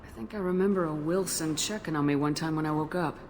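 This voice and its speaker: A woman's voice: low voice, quick pace, a little mellow, slight background noise. An actress playing a determined female military commander in a video game, direct and controlled. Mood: composed, probing. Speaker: video game character actor. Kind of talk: video game dialogue acting.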